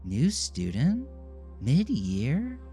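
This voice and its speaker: A man voicing an earnest, enthusiastic young woman, a kind art teacher in an audio drama, with a bright, admiring manner. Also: mid-range voice, huge pitch swings, drawn-out words, faint music behind, pitched above the performer's own voice, exaggerated voice. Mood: curious concern. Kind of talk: audio drama character dialogue.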